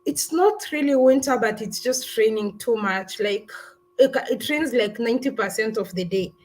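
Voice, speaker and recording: A woman's voice: a little high, normal speed, darkish tone. A woman hosting a travel live stream, chatting casually and rambling through viewer comments with lots of restarts and "I don't know". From Kenya.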